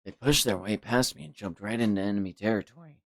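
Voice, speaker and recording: A man's voice: on the low side, quick pace, vocal fry, fairly bright tone, no room sound. Man reading as a stern, scolding ninja adviser.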